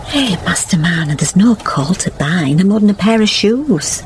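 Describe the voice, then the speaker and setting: A woman's voice: lowish voice, animated, moderate pace, a little hiss or hum, close to an everyday voice. A woman playing a kindly, practical village countrywoman in a radio drama, with a rural English accent and a soothing manner. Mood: practical, motherly.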